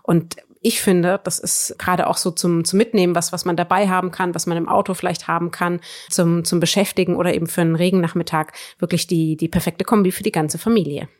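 Lower-pitched woman chatting about books. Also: fairly even intonation, brisk, hardly any pauses, no room sound, studio quality. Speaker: book podcast co-host. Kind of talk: conversational book recommendations.

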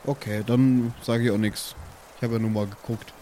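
A man's voice: mid-range voice, fairly even intonation, moderate pace, warm tone, slight background noise. A man chatting casually and improvising as a player in a tabletop role-playing game. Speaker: player in an improvised pen-and-paper audio play.

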